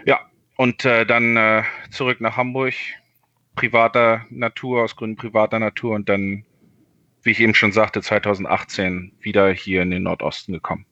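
A man's voice: on the low side, level tone, normal speed, pushed and bright, dry recording, muffled recording. A man in his thirties from Berlin, a podcast guest on a video call, talking hesitantly with many fillers. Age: 30s. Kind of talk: casual interview.

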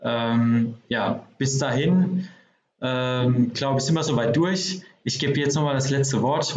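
A man's voice: mid-range voice, darkish tone. A man hosting a podcast interview, talking loosely with many fillers as he sums things up. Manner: summarizing, loose and chatty with fillers. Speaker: podcast host. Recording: dull, roomy sound with faint noise.

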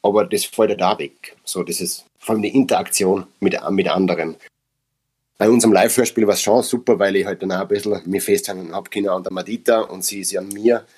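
Brief: Austrian male actor, low husky voice, reflective podcast guest. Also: steady tone, a little fast, a little mellow. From Upper Austria. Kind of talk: casual podcast conversation.

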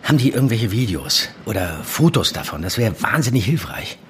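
A man's voice: on the low side, very animated, fairly quick, slight background noise. A man playing a brusque, probing handler of an undercover informant in a naturalistic audio drama, colloquial and prone to swearing. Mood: probing, suspicious.

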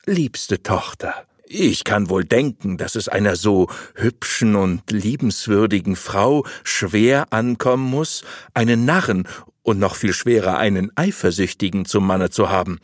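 A man playing a sly, lecherous abbot in a storybook, speaking with unctuous, deliberate persuasion and false piety. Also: fairly low pitch, no room sound, clean studio sound. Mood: unctuous, scheming. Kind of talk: audiobook storytelling.

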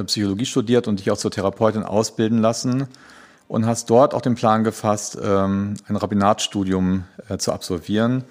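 A man's voice: lowish voice, darkish tone. A man hosting a podcast interview, introducing guests with many hesitant fillers. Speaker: podcast host.

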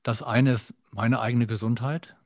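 A middle-aged man speaking earnestly in a reflective interview, animated and quick to act out the voices in his head. His voice sits at an ordinary middle pitch, recorded close and dry with no room sound. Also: dry recording. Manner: earnest, animated, acts out quoted inner voices. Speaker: podcast guest.

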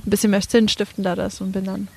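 A woman's voice: mid-range voice, level tone, fast talker, vocal fry, warm tone, husky. A young woman with a Franconian accent, a podcast guest chatting casually with many fillers and frequent laughs. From Franconia, Germany. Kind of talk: casual interview chat.

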